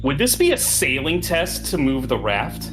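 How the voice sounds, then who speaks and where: A man's voice: a little high, very animated, normal speed. A man playing a tabletop game, chatting casually with an insistent, grumbling manner. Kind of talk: casual improvised game banter. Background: music.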